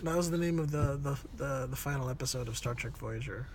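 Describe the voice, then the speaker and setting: A woman's voice: rumbling low, a little fast, a little hiss or hum. A woman co-hosting a casual, unscripted review chat, steering it with questions and prompts. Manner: questioning, keeps prompting the other speaker. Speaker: co-host steering a film review.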